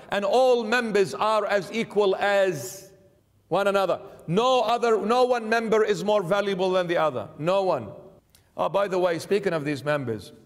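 A middle-aged man preaching an emphatic sermon in a church, driving points home with repeated lists and rhetorical questions. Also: sits high. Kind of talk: sermon commentary. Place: church sanctuary, indoors. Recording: reverberant church hall.

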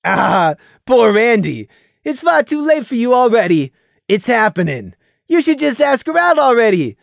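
A storyteller voicing a rowdy young pony stallion who loudly teases his friend. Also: mid-range voice, big pitch swings, normal speed, vocal fry, dry recording, studio quality, voice pushed up. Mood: teasing. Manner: boisterous, teasing, pushy.

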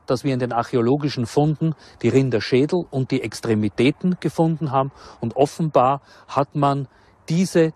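A man's voice: medium pitch, a little mellow. A man speaking as an expert historian, explaining in an academic way in a documentary interview.

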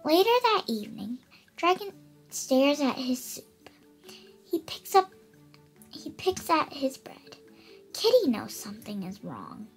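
A woman's voice: sits high, sing-song, takes it slowly, pauses often, faint music behind. An eight-year-old girl reading a children's picture book aloud expressively and carefully, stumbling over some words. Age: child, about eight. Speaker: young storybook reader.

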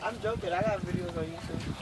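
A woman chatting quietly and offhandedly outdoors on a boat. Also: lowish voice, fairly even intonation, quick pace, heavy background noise. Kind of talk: casual chat.

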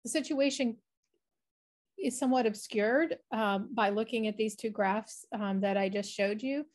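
A woman's voice: a little high, measured pace, a little mellow. A middle-aged woman, a researcher explaining her work in an online presentation over a video call. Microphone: video call, webcam framing.

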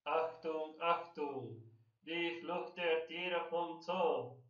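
A man's voice: fairly high pitch, steady tone, drawn-out words, highs cut off. A man playing a crier who makes declamatory, repeated announcements in an amateur cartoon. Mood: urgent warning. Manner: declamatory, repetitive calls. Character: loud circus crier and alarm announcer. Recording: echoey, distant, loud music or sirens.

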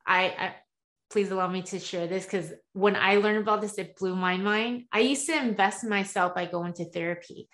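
A woman's voice: medium pitch, normal speed. Woman guest, forties, earnest, chatty asides, webcam audio from a home room. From born in the US, partly raised in Taiwan. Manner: earnest, animated hand gestures, frequent "right?" asides. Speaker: guest coach. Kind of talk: candid interview storytelling. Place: home room with shelves, video call.

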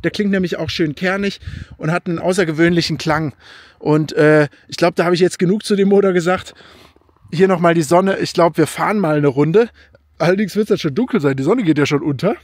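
Excitable young man rambling about his car. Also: a little high, a little fast, no echo, clean studio sound. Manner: enthusiastic, rambling, thinks aloud and corrects himself. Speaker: car vlogger. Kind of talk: casual car review vlog. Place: outdoors by a car in a snowy field.

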